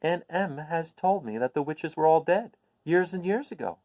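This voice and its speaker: A man's voice: on the high side, animated, moderate pace, darkish tone, no echo, lo-fi sound, voice pushed up. A man voicing an earnest, innocent little girl in a storybook, with a wondering, questioning manner. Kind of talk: storybook character voice.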